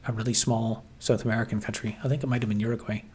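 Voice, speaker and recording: A man's voice: lowish voice, level tone, racing, a little mellow, a little hiss or hum. A man hosting a casual livestream, reading chat and musing aloud with lots of fillers and restarts. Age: adult, grew up watching 1990s TV.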